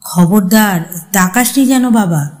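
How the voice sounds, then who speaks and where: A woman's voice: mid-range voice, slow, darkish tone, close to an everyday voice. A woman voicing a worried, tearful mother who pleads anxiously in a horror audio story. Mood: hushed warning.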